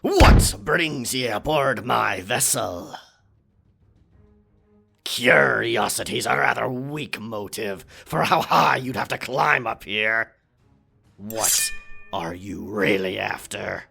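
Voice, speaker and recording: A man playing a boisterous, rum-swigging pirate captain in a roleplay audio drama, with a gravelly voice, theatrical yet hesitant, muttering in pirate slang. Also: medium pitch, animated, slow, pushed and bright, faint music behind, heavily acted. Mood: probing.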